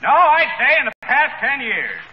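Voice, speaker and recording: A man's voice: very high voice, wildly swooping pitch, moderate pace, heavily acted. A man playing a folksy, blustering small-town police chief in 1930s radio comedy. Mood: mock solemn. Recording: noisy, muffled 1930s radio recording.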